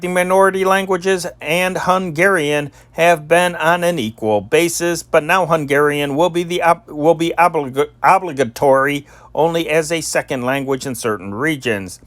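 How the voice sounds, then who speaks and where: A man reading old newspaper stories as scripted news, in a deliberate announcer-like delivery with occasional stumbles. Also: a little high, fairly bright tone, no echo. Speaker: amateur newsreader and channel host.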